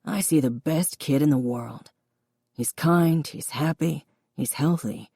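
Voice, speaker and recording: Woman voicing a remorseful older father, quiet. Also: low-pitched, slow, slightly rough, no echo, studio quality, exaggerated voice. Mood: tender. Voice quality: reedy, quiet. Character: older father, remorseful, gentle, reedy-voiced.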